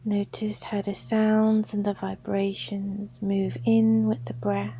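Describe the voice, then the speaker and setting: A woman guiding a sleep meditation in a soft, breathy near-whisper, gentle and soothing. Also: medium pitch, monotone, takes it slowly, slight background noise. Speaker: yoga nidra guide. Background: gongs and singing bowls.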